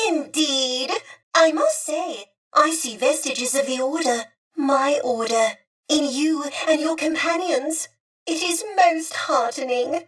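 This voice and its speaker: Prim polite lady droid for a video game, really high voice, warm n formal, bit distant from mic. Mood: cheerful, earnest. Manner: prim, formal, courteous diction. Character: polite, warm, old-fashioned female droid, wistful and earnest. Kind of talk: video game character acting.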